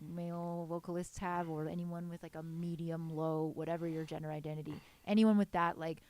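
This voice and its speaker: A young woman with a low voice, casually giving detailed, enthusiastic music mixing feedback on a live stream. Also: a little mellow. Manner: enthusiastic, detailed mixing tips with many fillers. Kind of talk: casual technical feedback chat.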